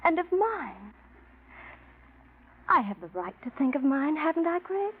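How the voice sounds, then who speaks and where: High-voiced scheming lovesick radio-drama woman. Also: sing-song, a little slow. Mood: ardent. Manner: theatrical, imperious, intense. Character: scheming, passionate woman in love with her cousin's fiance. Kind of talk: 1940s radio drama acting. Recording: dull vintage radio recording.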